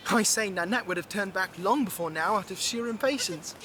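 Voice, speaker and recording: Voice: mid-range voice, brisk, bright, forceful tone, a little hiss or hum, natural voice. A performer playing an earnest young male pine marten adventurer with polite, genteel, old-fashioned turns of phrase. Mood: musing, wistful. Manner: genteel, old-fashioned British phrasing, stammers when flustered. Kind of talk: classic storybook audio drama acting.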